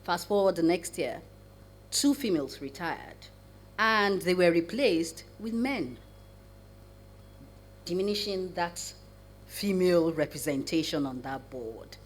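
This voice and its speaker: A woman who has a cough and sounds croaky, speaking as a panelist in a measured, explanatory way over a video call. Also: lowish voice, lively intonation, slight background noise. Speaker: corporate board evaluation advisor and panelist. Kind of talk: panel discussion answer.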